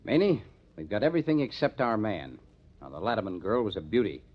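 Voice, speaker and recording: An actor playing a matter-of-fact police detective captain in an old-time radio crime drama, plainspoken and emphatic, on a muffled vintage recording. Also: male voice, on the low side, very animated, a little fast. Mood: businesslike, emphatic.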